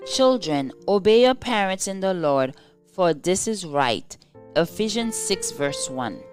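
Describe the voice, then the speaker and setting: A woman reading a scripted religious devotional in a measured, solemn and formal manner. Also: on the low side, sing-song. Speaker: devotional reader. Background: soft instrumental music.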